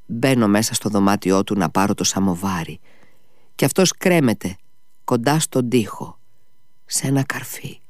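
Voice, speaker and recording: A frantic servant woman bursts out breathless and rushed, as a male reader voices her. The sound is dry and close. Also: lowish voice, animated, dry recording, lightly acted. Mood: alarmed.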